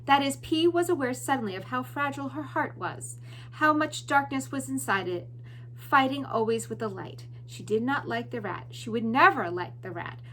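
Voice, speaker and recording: Bright, high-voiced woman teacher reading aloud. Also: lively intonation, moderate pace, slight background noise, rough recording. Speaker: elementary school teacher. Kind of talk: class announcements and expressive story read-aloud.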